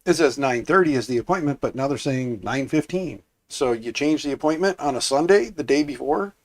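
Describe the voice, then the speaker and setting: A man hosting a casual livestream, congested and raspier than usual, rambling and repeating himself as he talks to his chat. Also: medium pitch, animated, fairly quick. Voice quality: raspy, congested. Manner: mild swearing, offhand. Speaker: livestream host.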